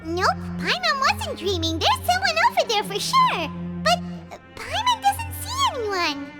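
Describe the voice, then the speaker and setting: A woman voicing a tiny, excitable childlike fairy companion in a video game, squeaky and chirpy, speaking of herself in the third person. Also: unhurried, exaggerated voice. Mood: curious. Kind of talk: animated video game voice acting. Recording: music behind.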